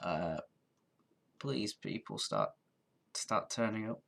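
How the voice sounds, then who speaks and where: A man's voice: lowish voice, huge pitch swings, slow, creaky, a bit bright. A man in his twenties with a head cold, chatting casually on a live stream, tired and congested, rambling and restarting his sentences. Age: 20s.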